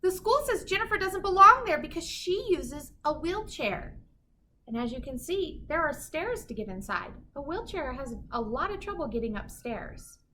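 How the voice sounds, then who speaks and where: A woman reading a children's picture book aloud in an animated, emphatic storytelling manner. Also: high-pitched, normal speed, a little hiss or hum. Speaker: children's story reader, teacher.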